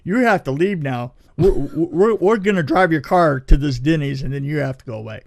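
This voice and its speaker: Animated man with a higher-pitched voice. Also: vocal fry. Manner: dramatic, halting retelling with emphatic repeats. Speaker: podcast co-host telling anecdotes. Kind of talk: animated casual storytelling.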